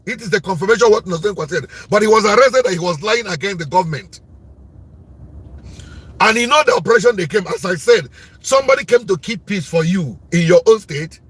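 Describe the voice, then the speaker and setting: A man with a Nigerian accent giving impassioned political commentary in a loud voice, forceful and repetitive, like preaching. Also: high-pitched, breathy edge, dry recording.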